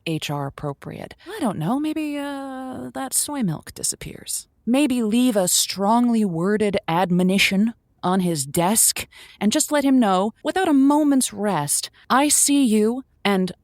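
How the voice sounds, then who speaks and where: A woman playing an effusive, flustered lonely-hearts radio host who talks in halting, repeated starts, in an improvised comedy style. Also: fairly high pitch, lively intonation, a little mellow, dry recording, studio quality. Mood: earnest, fired up. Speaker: radio call-in advice show host.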